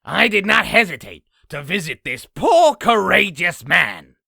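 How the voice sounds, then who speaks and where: Croaking, raspy old demon storyteller. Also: male voice, high voice, very animated, a little slow, sharp tone, no echo, studio quality, exaggerated voice. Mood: boastful. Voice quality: raspy, gravelly. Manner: theatrical, grandiose storytelling. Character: sinister boastful old demon spinning tall tales. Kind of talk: comic dub villain acting.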